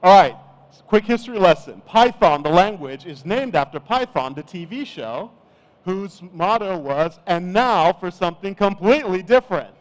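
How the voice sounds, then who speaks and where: A middle-aged man from Nigeria giving a relaxed, chatty conference talk on stage. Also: sits high, normal speed, poor audio. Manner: relaxed, chatty, self-deprecating. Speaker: conference speaker.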